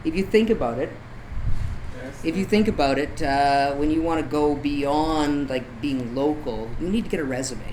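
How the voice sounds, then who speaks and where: A man's voice: on the high side, moderate pace. A man talking casually as a guest photographer, telling anecdotes in an informal, conversational way. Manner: informal, conversational, peppered with tag questions like "right?". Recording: clear background noise, room ambience.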